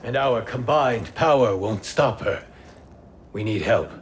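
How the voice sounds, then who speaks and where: An actor playing a cautious, principled warrior in a video game cutscene, sober and concerned. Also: male voice, mid-range voice, a little slow, slight background noise, lightly acted. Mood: grave, doubtful. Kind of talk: cinematic video game acting.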